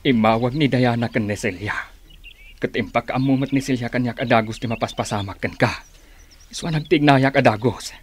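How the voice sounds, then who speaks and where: A man's voice: medium pitch, moderate pace, breathy edge, natural voice. An actor with a Filipino accent playing an earnest, protective older man in a radio drama, speaking solemnly. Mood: concerned.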